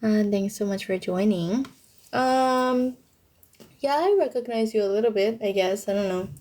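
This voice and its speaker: A woman's voice: mid-range voice, moderate pace, warm tone. A young woman from Thailand casually chatting on a livestream and answering viewers, with informal, youthful slang.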